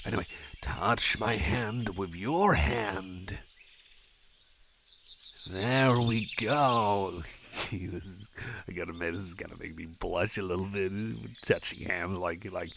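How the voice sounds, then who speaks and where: A man's voice: low-pitched, takes it slowly, gravelly, fairly bright tone, slight background noise, heavily acted. A man playing a shy, friendly cyborg chum in an ASMR roleplay, talking in a hesitant, stammering, rambling way. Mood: bashful, rambling. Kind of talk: personal attention ASMR roleplay.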